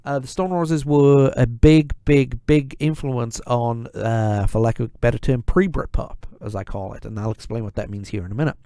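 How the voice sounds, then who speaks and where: A man's voice: mid-range voice, moderate pace, hardly any pauses, mellow, clear, resonant tone, dry recording, clean studio sound. A man hosting a podcast, talking casually with many fillers, repeated words and wry asides. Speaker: podcast host. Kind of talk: casual solo podcast monologue.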